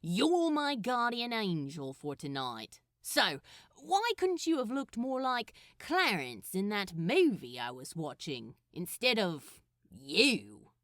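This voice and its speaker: A woman's voice: medium pitch, sing-song, slow pace, no echo, clean studio sound. A woman voicing a grumpy, defensive male demon in a storybook reading, with a snappish, grudging, growling manner.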